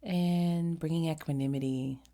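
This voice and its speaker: A woman leading a guided meditation in a gentle, unhurried manner, pausing often between short phrases. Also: sits low, steady tone.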